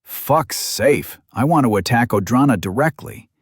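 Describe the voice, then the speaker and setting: A man's voice: medium pitch, animated, measured pace, slightly rough, no room sound, studio quality. A man voicing a hot-headed young male fighter in an audiobook, blunt, sulky and grumbling. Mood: angry.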